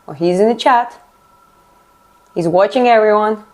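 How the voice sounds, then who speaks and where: A woman's voice: medium pitch, a little slow, darkish tone. A woman from Georgia whose first language is Russian, chatting casually on a live stream, answering viewer comments and explaining as she stretches, often restarting her words.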